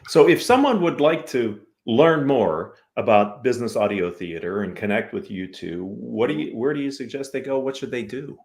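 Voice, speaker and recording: An American man works as a talk show host in a conversational business interview style. His voice sits at a medium pitch. He is affirming and complimentary toward his guests. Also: expressive, normal speed, vocal fry, few pauses.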